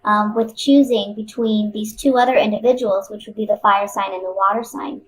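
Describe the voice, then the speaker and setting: A woman giving a casual, improvised tarot reading to viewers, talking conversationally and thinking aloud with pauses and repeats. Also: mid-range voice, fairly even intonation, a little hiss or hum, low-quality recording. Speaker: tarot card reader.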